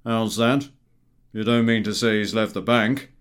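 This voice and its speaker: A man voicing a bluff, breezy middle-aged gentleman in an audiobook, hearty and blunt in manner. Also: on the low side, steady tone, measured pace, clean tone, voice pushed down, lightly acted. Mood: surprised, prying. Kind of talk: audiobook character dialogue.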